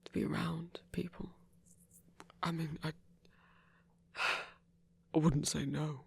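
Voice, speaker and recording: Shy, halting werewolf hunter played by a man, very husky. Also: on the high side, level tone, heavily acted. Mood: bashful, unsure. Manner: stammering, halting, earnest. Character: shy, socially awkward male werewolf hunter, gentle and earnest. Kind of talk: intimate ASMR audio roleplay.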